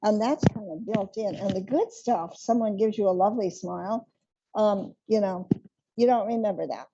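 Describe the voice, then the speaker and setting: A woman's voice: medium pitch, moderate pace, mellow, husky, highs cut off. A woman speaking reflectively on a panel, with many ums and you-knows.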